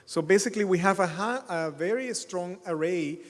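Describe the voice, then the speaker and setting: A middle-aged man giving a conference keynote, halting with many fillers. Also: high-pitched. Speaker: keynote speaker. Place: large hotel conference hall. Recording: long hall reverb.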